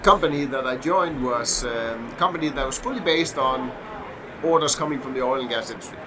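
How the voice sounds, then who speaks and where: A middle-aged man from Denmark with a Danish accent, a podcast guest who talks in a halting way with many fillers and restarts. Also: mid-range voice. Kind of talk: casual podcast interview. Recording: noisy background hum.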